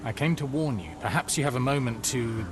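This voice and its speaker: Male actor as curt Victorian gentleman, British, mid-pitched, guarded, commanding, clipped formal diction, game cutscene, clear ambient noise. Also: brisk, lightly acted. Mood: cool, guarded. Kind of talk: video game cutscene acting.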